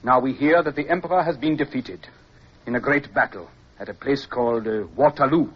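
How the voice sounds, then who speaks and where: An actor playing a courteous, formal army officer in a radio drama, speaking in a measured and deliberate way. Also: male voice, medium pitch, breathy edge, close to an everyday voice. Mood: grave. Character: courteous French army officer guarding a prisoner. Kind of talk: mid-century radio drama acting. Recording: muffled, noisy old radio recording.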